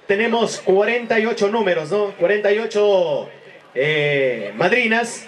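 A man's voice: sits high, expressive, a little slow. A man making announcements as master of ceremonies at an outdoor event. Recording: echoey distant PA with crowd.

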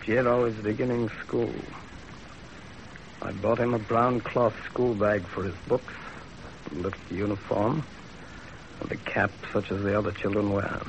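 An actor playing a commanding, probing Chinese general in a 1930s radio drama, speaking with deliberate authority. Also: male voice, fairly low pitch, flat delivery, vocal fry. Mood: sober, deliberate. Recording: muffled, hissy 1930s radio recording.

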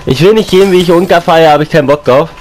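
A young man with a slight cold, chatting casually as he plays and comments on a console game, repeating and rhyming his lines and breaking into excited outbursts. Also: on the high side, racing, slight background noise. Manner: excited outbursts while playing. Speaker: gaming YouTuber.

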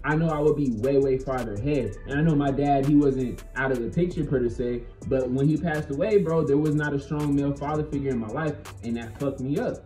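A man's voice: mid-range voice, fairly quick, few pauses, warm tone, faint music behind. A young American man giving a blunt, slangy, emphatic opinion monologue to camera, swearing freely in a casual way. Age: young adult. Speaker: video channel host. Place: small bedroom with bare walls. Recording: echoey small room.

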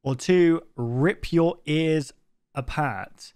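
A man's voice: a little high, drawn-out words, darkish tone, clear, resonant tone, dry recording. A man in his twenties giving casual reaction commentary into a close desk microphone indoors. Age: 20s. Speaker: reaction video host. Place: bedroom streaming setup indoors.

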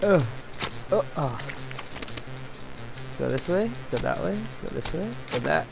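A man doing casual live commentary while playing a video game, excitable, blurting exclamations and repeating his phrases. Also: fairly high pitch, a little slow, gravelly, rough recording. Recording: loud game music behind the voice. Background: loud video game music.

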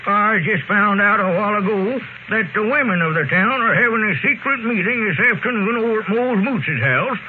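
High-voiced pompous squire, old radio. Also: male voice, expressive, normal speed, hardly any pauses. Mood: urgent, pompous. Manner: oratorical, urgent, pompous. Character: pompous, alarmist small-town squire rallying men. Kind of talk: 1930s-40s radio comedy acting. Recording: faintly noisy, narrow-band old radio recording.

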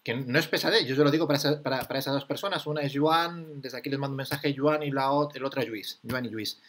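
A man from Catalonia hosting a casual interview, asking questions in a relaxed, conversational way. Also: medium pitch, fast, a little hiss or hum. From Catalonia, Spain. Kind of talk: casual video-call interview.

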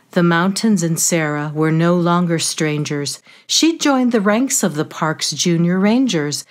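A woman author reading a children's story in rhyme, with an expressive, measured storytelling manner. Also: on the low side.

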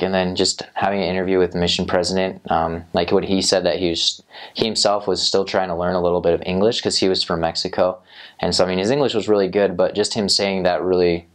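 A young man on a lapel mic in a small studio, answering interview questions about his time as a missionary, rambling with many fillers and restarts. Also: sits low. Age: young adult. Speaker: returned missionary. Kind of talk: interview answers, casual recollection. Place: small indoor studio set.

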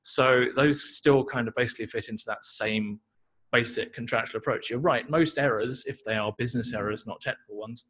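A man's voice: medium pitch, brisk, a bit bright, husky, low-quality recording. A man answering audience questions at a tech meetup, casual and explanatory, full of "you know" and "sort of". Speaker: tech talk co-presenter. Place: meetup talk venue indoors.

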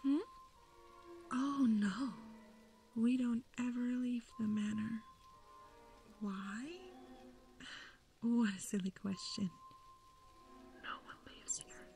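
A woman playing an eerie, sweetly sinister young manor dweller in a soft breathy whisper for horror ASMR roleplay. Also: fairly high pitch, steady tone, slow pace, long pauses, faint music behind. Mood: eerily welcoming.

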